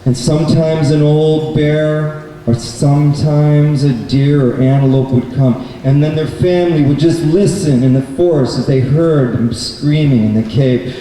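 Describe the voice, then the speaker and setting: A man narrates a children's puppet show in plain, deliberate phrases. His voice is fairly high for a man but very mellow and dull-edged, echoing heavily around an indoor event space. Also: fairly even intonation. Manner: simple, deliberate, repeats words for children. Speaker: storyteller and puppeteer. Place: public event space indoors, far from mic. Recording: very echoey noisy hall, distant mic.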